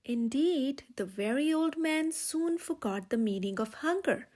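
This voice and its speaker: High-voiced woman reading a children's story. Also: animated, unhurried, darkish tone. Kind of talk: read-aloud picture book narration.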